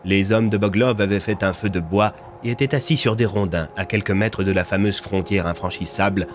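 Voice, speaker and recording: Low-voiced male storyteller. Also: a little fast, faint music behind. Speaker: narrator. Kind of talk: scripted storytelling narration.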